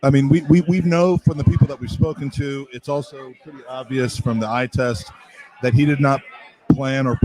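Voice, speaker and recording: A man's voice: medium pitch, fairly even intonation, moderate pace, darkish tone, low-quality recording. A man hosting a football podcast in a casual, chatty style. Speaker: podcast host. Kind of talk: casual sports chat.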